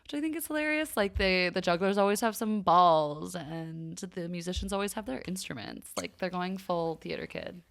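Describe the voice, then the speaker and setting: A woman's voice: fairly low pitch, lively intonation, normal speed, creaky, few pauses. Woman podcast co-host, enthusiastic casual recap chat, says like a lot. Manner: uses "like" constantly, enthusiastic.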